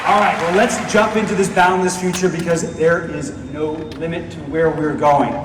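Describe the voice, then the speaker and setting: A man's voice: fairly high pitch, fairly even intonation, normal speed. A man giving an upbeat, emphatic keynote presentation on a stage. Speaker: presenter on stage at a company event. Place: large indoor auditorium stage, recorded from audience. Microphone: distant phone in audience picking up PA. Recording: echoey hall from far off, loud crowd, noisy.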